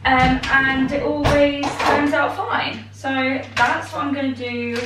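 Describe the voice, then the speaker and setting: Young woman, croaky tired voice, high-pitched, bubbly chat, echoey kitchen, far from the mic. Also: fairly even intonation, slow, background noise. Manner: bubbly and chatty, talking while baking. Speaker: vlogger. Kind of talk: casual chat. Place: home kitchen, indoors. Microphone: far camera.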